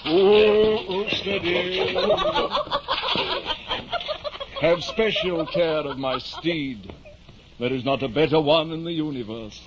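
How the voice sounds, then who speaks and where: An actor playing a delusional, courtly gentleman knight-errant in pompous, florid old-time radio style. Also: a little high, unhurried, lightly acted. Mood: grand, fond. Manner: pompous, florid, theatrical declamation. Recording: muffled, noisy 1950 radio recording.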